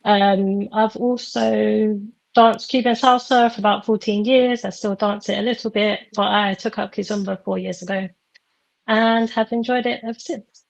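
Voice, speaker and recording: A woman's voice: mid-range voice, fairly even intonation, normal speed, gravelly. A woman talking casually as a guest on a panel show, through a slightly muffled microphone. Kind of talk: casual self-introduction.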